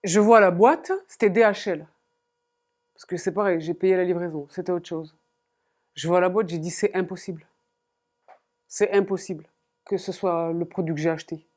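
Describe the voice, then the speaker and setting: A woman's voice: lowish voice, steady tone, normal speed, long pauses, darkish tone. A woman vlogging playfully to camera about coats she bought, theatrical and giggly as she talks straight to her viewers. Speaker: fashion vlogger.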